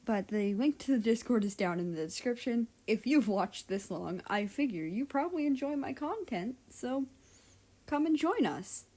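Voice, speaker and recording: A woman's voice: on the high side, expressive, moderate pace, dark tone. A woman who reads stories aloud and chats casually as the host of her channel. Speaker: audiobook-style reader and channel host. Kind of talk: scripted story narration and casual outro chat.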